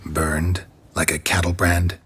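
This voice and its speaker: A man playing a gruff, weary, stoic monster hunter in a video game, terse and deadpan, with a gravelly, husky voice. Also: sits low, slow, full character voice. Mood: questioning, flat.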